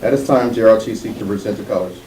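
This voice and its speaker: A man's voice: lowish voice, rapid-fire, dark tone. A man making formal announcements as host of a public meeting. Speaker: school board meeting host. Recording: echoey room, far mic, faint noise.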